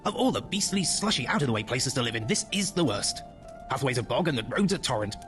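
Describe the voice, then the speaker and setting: A man voicing an irritable, blustering old father in a dramatic audiobook reading, with loud exasperated complaining. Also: mid-range voice, sing-song, fast, soft background music, pitched above the performer's own voice.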